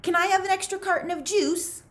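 A woman's voice: very high-pitched, fairly even intonation, brisk, a bit bright, voice pushed up. Woman reading as a cocky, insistent teenage boy. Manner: blunt, childish, emphatic.

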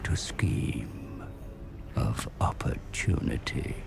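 A man playing an ominous storyteller, narrating a dark fantasy game in a portentous, theatrical manner. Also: a bass voice, expressive, very slow, gravelly, in a whisper, heavily acted. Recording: loud music under the voice. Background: dramatic music.

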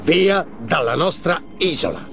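Exasperated game guardian spirit: raised pitch, scolding delivery, music underneath. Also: male voice, animated, unhurried, vocal fry. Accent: Italian. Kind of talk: animated game cutscene acting.

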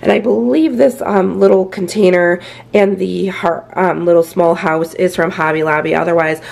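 A woman's voice: lowish voice, steady tone, moderate pace, creaky, a little mellow. A woman in her thirties with a scratchy voice, chatting casually through a home decor tour indoors with a handheld phone, full of fillers. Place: inside a house. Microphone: handheld phone camera.

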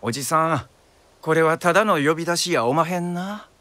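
An actor playing a refined, wry Kyoto gentleman with a Kyoto accent, polite and musing, in a radio drama. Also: fairly high pitch, moderate pace, no room sound. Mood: polite, probing.

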